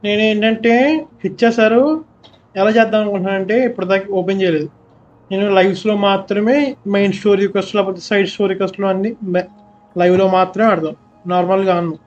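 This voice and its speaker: A young man with a Telugu accent giving quick, chatty live commentary while streaming a game. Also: high-pitched, level tone, lo-fi sound. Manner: quick, chatty, mixing in casual asides.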